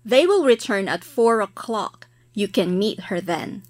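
A woman's voice: medium pitch, expressive, no room sound, clean studio sound. Woman reading exam dialogue lines. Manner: slow, careful textbook reading. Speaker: listening test reader. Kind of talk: scripted listening exam dialogue.

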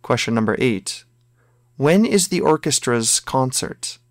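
Male reader for a language-exam recording, slow and deliberate delivery, mid-pitched, dry close sound. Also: expressive, no echo. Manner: slow, careful textbook reading. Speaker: listening test reader. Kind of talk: scripted listening exam dialogue.

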